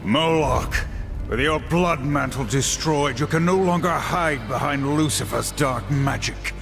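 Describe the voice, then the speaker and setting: A man's voice: fairly high pitch, unhurried. An actor playing a stern, vengeful horseman warrior in a video game, speaking in solemn, terse, formal lines. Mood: vengeful resolve. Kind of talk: theatrical video game acting. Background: music.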